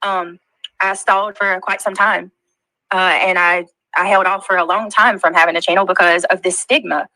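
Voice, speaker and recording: A woman with a Southern US accent talking on her livestream, halting and hurt, defensive, with many fillers. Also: fairly low pitch, fairly even intonation, bright, forceful tone, no echo, low-quality recording. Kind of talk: casual livestream talk.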